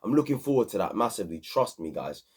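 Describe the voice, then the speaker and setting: A young man hosting an excited, slangy football match review, a bit hoarse from screaming. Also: lowish voice, fast. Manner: animated, repetitive, slangy. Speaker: football channel host.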